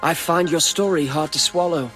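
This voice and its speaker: A man as a high-voiced, stern nobleman. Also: a bit bright, soft background music, lightly acted, low-quality recording. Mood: stern, accusing. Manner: formal, authoritative, measured. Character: dignified young male nobleman, a stern, composed marquis. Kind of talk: dramatic video game acting.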